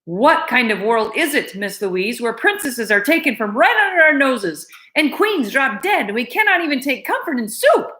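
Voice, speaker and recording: A woman voicing a fierce castle cook woman who wails tearfully and loudly. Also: high voice, sing-song, normal speed, hardly any pauses, pitched above the performer's own voice, heavily acted. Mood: wailing. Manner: wailing, tearful, loud. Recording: roomy echo.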